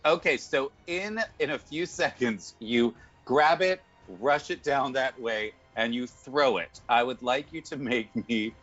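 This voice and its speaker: A man runs a tabletop game in a medium-pitched voice, narrating on the fly and laughing often. The audio is a little muffled, with faint music underneath. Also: a little slow, hardly any pauses, fairly bright tone. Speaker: game master.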